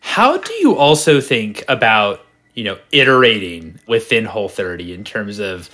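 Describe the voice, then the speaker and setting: A man's voice: on the low side, very animated, moderate pace, pushed and bright. A man hosting an interview, asking long, hedging questions full of fillers. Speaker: podcast host.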